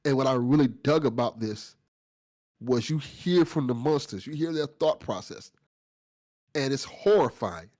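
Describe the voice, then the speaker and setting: A man's voice: medium pitch, lively intonation, a little slow, a little mellow. A man hosting a casual after-show discussion, effusive and informal in his praise. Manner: effusive, informal, lightly sweary. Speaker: after-show host of a fiction podcast.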